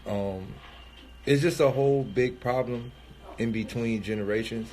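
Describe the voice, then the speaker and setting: A man's voice: medium pitch, fairly even intonation, a little slow, darkish tone, a little hiss or hum. Sniffly man in his thirties, rambling. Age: 30s. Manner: loose and rambling, thinking aloud with many fillers and restarts. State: sniffly with a cold. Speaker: rapper streaming live. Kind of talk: casual livestream monologue.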